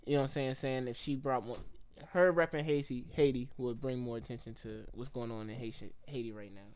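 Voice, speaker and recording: A man's voice: mid-range voice, fairly even intonation, brisk, a little mellow, clean tone. A man, chatting casually as a podcast co-host, slangy and loose. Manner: slangy, frequent "you know what I'm saying". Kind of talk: casual chat.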